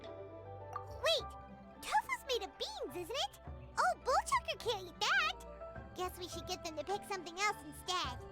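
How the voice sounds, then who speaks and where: Tiny chatty girl companion, high-pitched, lively intonation, exclaiming, video game, music behind. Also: a little slow, exaggerated voice. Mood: worried. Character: small, childlike girl companion, chatty, food-loving.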